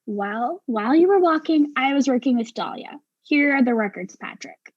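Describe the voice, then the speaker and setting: A woman acting an exasperated, sharp-tongued professional in a darkly comic mystery play, arguing with precise sarcasm. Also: high voice, lively intonation, moderate pace, no room sound, lo-fi sound. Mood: defensive, dry.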